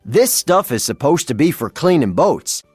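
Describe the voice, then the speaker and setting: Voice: medium pitch, big pitch swings, normal speed, a little mellow, slightly rough, no room sound, studio quality, soft background music. A storybook narrator voicing a folksy cowboy life coach given to homespun sayings.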